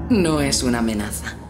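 Sadistic young villainess, very low swooping voice, mocking drawl, Castilian Spanish, anime fighting game. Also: female voice, full character voice. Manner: taunting, drawling, punctuated by chuckles and hums. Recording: music behind the voice. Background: music.

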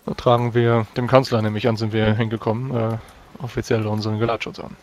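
A man playing a dutiful knight in a tabletop game, speaking formally and deliberately. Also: fairly low pitch, level tone, darkish tone, slight background noise, natural voice. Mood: formal, earnest.

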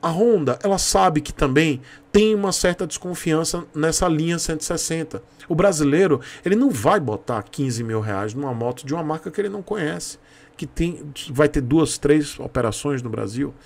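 A man's voice: fairly high pitch, expressive, normal speed, creaky. A man in his thirties with a Bahian Brazilian accent, giving informal, emphatic opinion commentary to camera as a video host, close to a desk mic in a home room. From Salvador, Bahia, Brazil. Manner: emphatic, repeats points for stress. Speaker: YouTube host, motorcycle commentator. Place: small home room with shelves, indoors. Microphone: desk microphone close in front.

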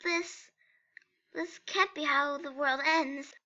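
A muffled, lo-fi comic dub of an earnest skeleton brother acted by a woman. The voice is high and very bright, with dramatic, pleading, emphatic delivery that is full of hope. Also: fairly even intonation, takes it slowly, exaggerated voice. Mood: desperate. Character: earnest, excitable skeleton brother, theatrical and hopeful. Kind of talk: amateur comic dub acting.

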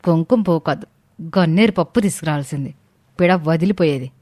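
A woman voicing a sharp, jealous, scheming young daughter-in-law in a family story, curt and sarcastic. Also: low voice, fast, dry recording. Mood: bitter. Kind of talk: Telugu moral story dramatization.

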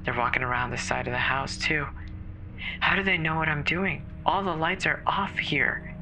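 A performer voicing a terrified woman caller alone in a snowbound house, halting and stammering with fear in a horror audio drama. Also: medium pitch, noisy background, lightly acted. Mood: frightened. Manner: halting, fearful, breathy stammering. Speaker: emergency caller.

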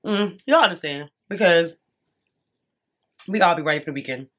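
Chatty woman, slightly congested, low voice. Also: expressive, normal speed, fairly bright tone. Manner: chatty, addresses viewers as y'all, eats while talking. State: a little congested. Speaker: vlogger. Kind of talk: casual chat to camera. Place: bedroom at home.

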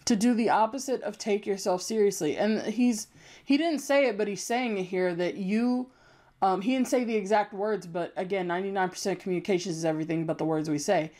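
A woman's voice: medium pitch, a little fast, dark tone. A young adult woman with a youthful voice, delivering a blunt, emphatic, unscripted commentary rant with frequent swearing. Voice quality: young-sounding voice. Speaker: YouTube commentator.

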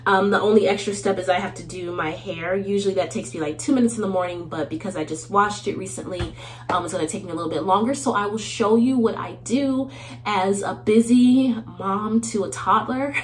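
A woman's voice: mid-range voice, fairly quick. A woman in her thirties hosting a get-ready-with-me video in her bathroom, chatty and laughing often, sounding a little nasal from a cold. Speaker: beauty vlogger host. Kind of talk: casual get-ready-with-me chat. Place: small indoor bathroom.

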